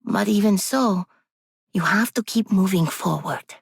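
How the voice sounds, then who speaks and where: A woman voicing a haunted but resolute young woman for a video game, grave and deliberate. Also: medium pitch, vocal fry, husky, dry recording, studio quality, exaggerated voice. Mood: somber.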